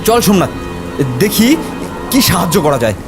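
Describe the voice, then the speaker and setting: A man voicing an anxious, excitable village man in a cartoon story, with loud music and stormy sound behind. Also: sits high, moderate pace, gravelly, exaggerated voice. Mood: resolute. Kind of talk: cartoon story character acting.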